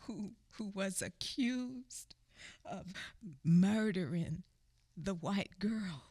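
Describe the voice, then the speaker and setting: A woman narrating a radio play in a slow, halting way, repeating words, swinging between raised cries and low whispers. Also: animated, dark tone, no room sound, studio quality. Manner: drops to a whisper at times. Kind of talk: dramatic spoken-word storytelling.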